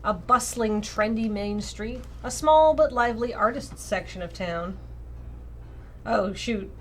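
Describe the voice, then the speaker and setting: A woman's voice: mid-range voice, measured pace, slight background noise. A woman co-hosting a game stream, chatting casually and reading on-screen text aloud. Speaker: streamer and co-host.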